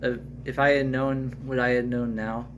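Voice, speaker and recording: A man reading a story aloud in an amateur, halting way. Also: medium pitch, little pitch movement, background noise. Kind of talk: amateur story read aloud with improvised dialogue.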